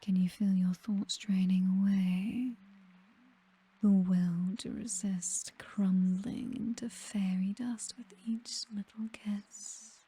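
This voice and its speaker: A woman with a British accent playing a seductive, possessive vampire mistress in a whispered ASMR roleplay, coaxing and commanding in a soft, breathy voice. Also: mid-range voice. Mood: tender, soothing. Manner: slow, coaxing, hypnotic, teasingly commanding.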